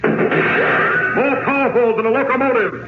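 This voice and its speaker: An actor declaiming as an excited herald in a 1940s radio serial opening, loud and breathlessly thrilled. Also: male voice, very high-pitched, unhurried. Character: awed, shouting male announcer heralding a superhero. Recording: tinny radio, echo, loud music behind.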